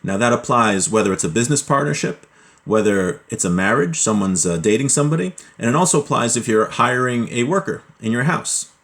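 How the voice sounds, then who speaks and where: A man's voice: mid-range voice, brisk. Man explaining laws from a Hebrew text. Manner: reads Hebrew passages, then explains them plainly in English; relaxed, with frequent fillers and self-corrections. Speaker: Torah teacher.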